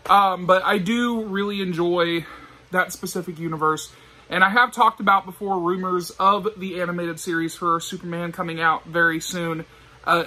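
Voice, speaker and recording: A man's voice: high-pitched, bright, forceful tone. A young man hosting a casual vlog, talking to the camera like an enthusiastic fan. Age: young adult. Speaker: video host. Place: small indoor room with shelves of discs.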